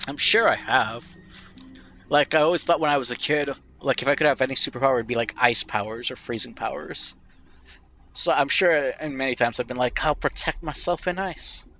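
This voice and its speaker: Young male gamer, nasal and bright, thinks aloud with rambling, self-deprecating answers. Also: medium pitch, brisk, soft background music. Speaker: gamer playing a question game. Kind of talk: casual reflective commentary.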